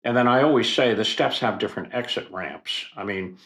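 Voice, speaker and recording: An older man explaining history as a podcast guest, in a professorial, unhurried way with fillers and asides. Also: lowish voice, a bit bright, roomy sound. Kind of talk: expert explanation in a podcast interview.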